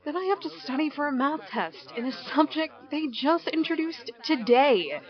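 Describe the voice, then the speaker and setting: A woman's voice: sits high, lively intonation, normal speed. A young woman playing a bored, overworked teenage girl in an audio drama, dry and curt, half-whispering when lost in thought. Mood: weary. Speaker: lead character. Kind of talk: amateur audio drama acting. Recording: muffled lo-fi recording.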